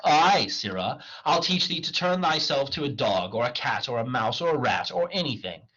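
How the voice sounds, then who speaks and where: Man acting a bossy master conjurer, stage comedy, commanding, rough husky edge, slightly dull recording. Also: medium pitch, very animated, normal speed, a bit bright, voice pushed up. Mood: haughty. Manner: commanding, declamatory. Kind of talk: Elizabethan stage comedy reading.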